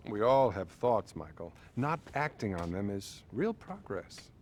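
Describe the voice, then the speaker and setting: An actor playing a patronizing male therapist, measured and placating, in a naturalistic video game style. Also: on the low side, big pitch swings, darkish tone. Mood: encouraging.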